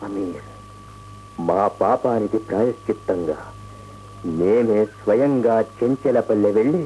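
A man's voice: on the low side, measured pace, highs cut off, close to an everyday voice. An actor playing an aging, remorseful emperor and father in a radio play, grave, regal and given to sighing. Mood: remorseful, solemn. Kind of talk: radio play acting, solemn. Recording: radio play with faint music.

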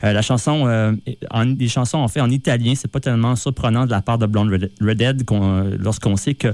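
A man hosting a live radio music show from a studio, often pausing on filler sounds and restarting his sentences. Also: medium pitch, fairly even intonation, darkish tone, no echo. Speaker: radio host. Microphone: studio microphone.

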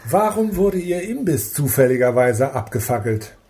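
An actor playing a stern, suspicious police superior, curt and authoritative, in a radio crime drama. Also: male voice, mid-range voice, very animated, normal speed, darkish tone, natural voice. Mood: angry, accusing.

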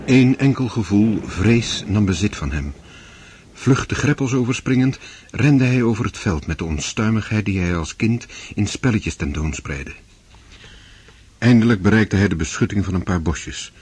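An actor narrating a war story in a radio drama as a grave storyteller. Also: male voice, fairly low pitch, expressive, normal speed, a little mellow, slightly rough. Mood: urgent.

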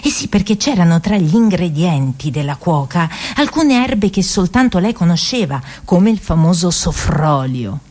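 A woman's voice: fairly low pitch, sing-song, moderate pace, few pauses, a little mellow. A woman performing a tragicomic story for radio, narrating dramatically with emphatic delivery and relish for each word. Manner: dramatic, emphatic, savouring lists of words. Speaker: stage actress and narrator.

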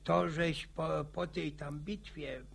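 Creaky, stammering old village grandfather. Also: on the high side, steady tone, fairly bright tone, full character voice. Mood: rambling, musing. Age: older. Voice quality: creaky, gravelly. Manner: halting, stammering, repeats words. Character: talkative, boastful old village grandfather in rural Poland. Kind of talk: radio drama acting.

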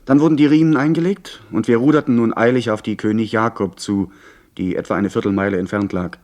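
Actor as low-voiced earnest male narrator. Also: fairly even intonation, brisk, darkish tone, lightly acted. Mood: measured, literary. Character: earnest young gentleman recalling his pirate adventure. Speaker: first-person narrator. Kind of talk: scripted dramatic narration.

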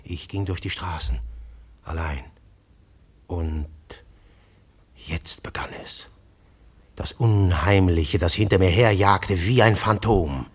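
An actor narrating as a tense, hunted undercover agent in a radio play. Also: male voice, low voice, slow, long pauses, breathy edge, full character voice. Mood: hushed. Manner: hushed, then urgent and breathless. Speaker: first-person narrator. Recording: narration over loud music.

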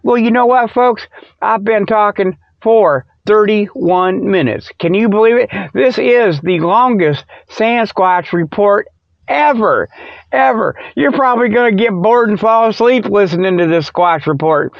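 A man with a notably high voice rambles through an informal comic radio monologue. His delivery is folksy and loose with grammar, wandering as he goes. The recording is dry and close, with a dull, lo-fi tone. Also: a little slow, gravelly, no echo. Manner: folksy, ungrammatical, digressive. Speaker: host of a comedic Bigfoot radio show.

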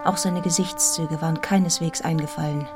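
A woman's voice: sits low, steady tone, moderate pace, close to an everyday voice. An actress playing a devoted, independent young Englishwoman in a German radio play, speaking and narrating with literary, composed earnestness. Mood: somber narration. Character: devoted, independent young Englishwoman telling her own story. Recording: studio radio play with music.

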